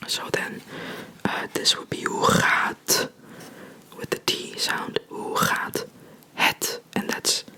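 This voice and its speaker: A person giving a whispered ASMR language lesson, explaining in a soft, rambling, chatty way close to the microphone. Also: slow pace. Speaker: language teacher.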